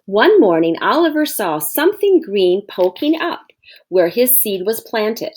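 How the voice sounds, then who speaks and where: Casting: female elementary teacher for storybook read-aloud, unhurried, lively intonation, instructive. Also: mid-range voice. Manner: expressive, instructive, addresses children directly.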